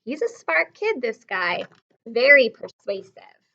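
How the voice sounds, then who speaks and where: Woman teacher, high pitch, slow pace, expressive, questioning, simple explanations for young children. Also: creaky, dull audio. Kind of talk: read-aloud lesson for young children.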